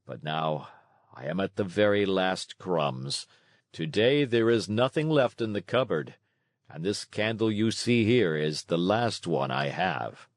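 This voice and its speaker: Man doing a gentle old father, low voice, very slow, weary, loving, storybook read-along. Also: animated, no echo, studio quality. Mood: resigned. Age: older. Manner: slow, measured, tender.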